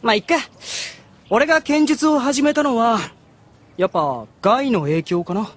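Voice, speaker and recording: A man playing a brash, excitable teenage swordsman in an anime audio drama, blunt and boyish in his speech. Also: extremely high pitch, normal speed. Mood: reflective.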